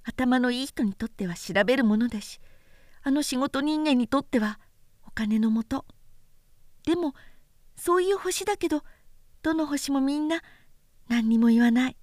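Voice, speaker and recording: A woman voicing a small boy prince from a storybook, gentle, wistful and tender, with soft childlike phrasing. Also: high voice, moderate pace, long pauses, no room sound, clean studio sound, natural voice. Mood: tender, earnest. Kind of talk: storybook character voice in a literary recitation.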